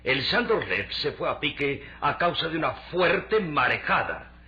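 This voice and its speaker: A man's voice: on the high side, sing-song, unhurried, sharp tone, heavily acted. A man playing a shrewd middle-aged shipping magnate in a Latin American Spanish radio drama, theatrical and probing. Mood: excited. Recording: dull, lo-fi radio drama recording.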